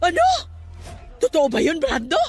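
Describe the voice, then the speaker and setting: A woman playing an alarmed, fretful aunt in a Filipino radio drama, with a shrill, excitable delivery. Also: moderate pace, soft background music, full character voice.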